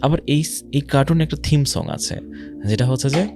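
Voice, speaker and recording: Bangladeshi guy doing islamic commentary, mid pitched, pushy questions to the listener, bit of english, music clearly behind him. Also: sing-song, normal speed. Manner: mixes in English words. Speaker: Islamic speaker. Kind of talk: religious social commentary talk.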